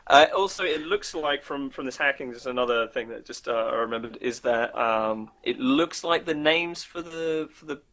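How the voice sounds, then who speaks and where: A man's voice: mid-range voice, moderate pace, hardly any pauses, fairly bright tone. A man co-hosting a casual, unscripted gaming podcast, informal and jokey, with loose language. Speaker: co-host presenting video game news.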